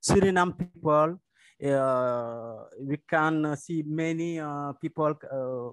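A middle-aged man, a native French speaker with a French-speaking accent, asking questions haltingly in English on a video call. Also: fairly high pitch, smooth, dry recording. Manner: hesitant, halting second-language English. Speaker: webinar participant asking questions. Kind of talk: spontaneous audience question. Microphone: wired earphone mic held near mouth.

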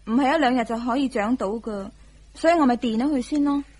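An actress playing a sweet young wife who is eager to please, in a radio drama. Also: female voice, a little high, a little fast, a little mellow, slightly rough. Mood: eager, anxious. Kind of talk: Cantonese radio drama acting.

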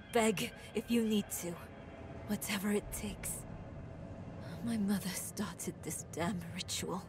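An actress playing a grieving, determined young woman in a dark fantasy video game, halting and subdued with a bitter edge. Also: mid-range voice, natural voice. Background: game music.

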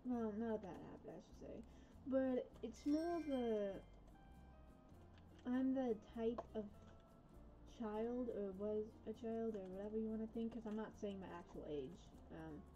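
Rambling young woman, soft sloppy r's. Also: medium pitch, lo-fi sound. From USA. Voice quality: soft r sounds from a past speech difficulty. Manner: rambling, self-correcting, hesitant. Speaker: gaming vlogger. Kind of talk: casual gameplay chat.

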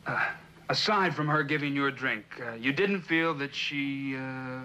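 An actor playing a probing defense lawyer, asking measured, formal questions in a television courtroom drama. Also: male voice, medium pitch, sharp tone, muffled recording, slight background noise.

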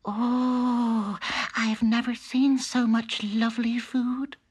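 A woman voicing a humble, wide-eyed female country mouse in a storybook, speaking softly and earnestly. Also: on the high side, steady tone, takes it slowly, voice pushed up. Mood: wondering. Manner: soft, earnest and gentle.

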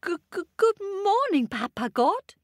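A woman's voice: very high voice, very animated, measured pace, no room sound, studio quality, voice pushed up, heavily acted. A woman voicing a greedy, curious little male monkey who hoots and stammers in a storybook tale. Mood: eager. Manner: hooting and stammering, squealing with delight.

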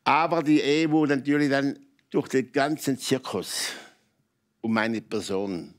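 An older man with a Swiss German accent, telling personal stories as a talk show guest in emphatic, halting phrases with repetitions. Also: medium pitch. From Switzerland. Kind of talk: candid personal storytelling in conversation. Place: TV talk show studio with audience.

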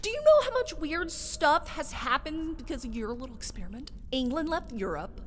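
A woman playing an exasperated, quirky, all-powerful judge in a comedic fan dub, scolding in an animated, colloquial way. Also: sits high, moderate pace, slight background noise. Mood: indignant, scolding.

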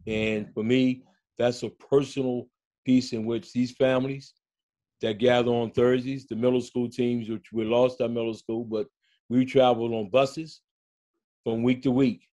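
An older man and school coach speaking on a video call from his home, telling stories in a slow, reflective way during a panel discussion. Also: medium pitch, level tone, mellow. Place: home room, indoors.

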